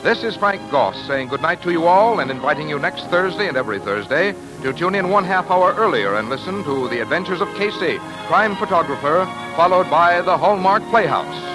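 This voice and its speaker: A man's voice: sits high, animated, a little fast, hardly any pauses, music in the background, rough recording. An American man announcing and reading sponsor copy in 1940s radio style on a vintage broadcast.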